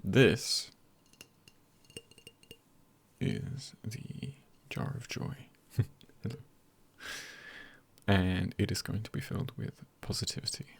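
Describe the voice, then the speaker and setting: Soft asmr guy, husky n breathy, loads of gravelly fry, half whispering, unhurried phrases. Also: fairly low pitch, fairly even intonation. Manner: hushed, often dropping into a whisper. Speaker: ASMR creator. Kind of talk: ASMR, soft-spoken encouragement.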